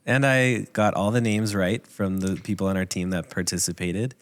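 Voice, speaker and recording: A man in his thirties co-hosting a podcast in casual chat, hoarse and raspy from a cold, easygoing and joking. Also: on the low side, fairly even intonation, moderate pace, dry recording. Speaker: podcast co-host.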